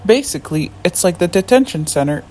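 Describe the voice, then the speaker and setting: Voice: mid-range voice, lively intonation, brisk, warm tone, a little hiss or hum. A narrator, a native Somali speaker, reads a scripted debunking in an indignant tone. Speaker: video essay narrator.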